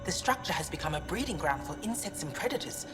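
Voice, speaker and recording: An actress playing an earnest, resolute alien scholar in a video game, with a formal, deliberate delivery. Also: female voice, deep voice, lively intonation. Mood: earnest, concerned. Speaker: archaeoastronomer. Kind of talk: video game dramatic acting. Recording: long reverb, clear music behind voice.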